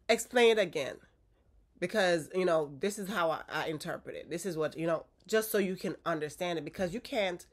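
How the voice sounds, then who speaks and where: Stuffy-voiced woman giving relationship advice. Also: on the low side. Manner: direct, coaching, checks in with "okay?". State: stuffy from a cold. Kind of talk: casual advice talk to viewers.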